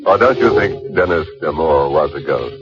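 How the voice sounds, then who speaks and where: An actor playing a sinister, macabre undead figure in old-time radio horror style. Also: male voice, rumbling low, expressive, moderate pace. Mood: ghoulish glee. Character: sinister undead avenger, slow and relentless, back from death; gleefully macabre horror host telling ghoulish jokes. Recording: dull old radio recording, loud music.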